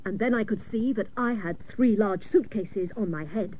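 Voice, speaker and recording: An actress playing a hapless, literal-minded female private detective, narrating a comic radio serial with mock-dramatic seriousness and deadpan jokes. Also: mid-range voice, normal speed, lightly acted. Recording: noisy old cassette of radio broadcast.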